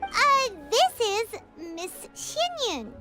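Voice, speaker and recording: A woman voicing a small, chatty, childlike fairy companion in a video game, perky and blunt, in an excitable manner. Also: extremely high pitch, drawn-out words, soft background music, heavily acted. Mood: excited. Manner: refers to self in third person, excitable.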